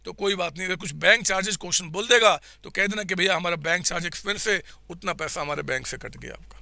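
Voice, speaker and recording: Man, teacher, high voice, slightly hoarse, coaxing question-and-answer lecturing, live online class. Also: fast talker, bright, forceful tone, no echo. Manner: coaxing, asks the students questions and answers them himself. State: throat getting hoarse. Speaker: accounts teacher.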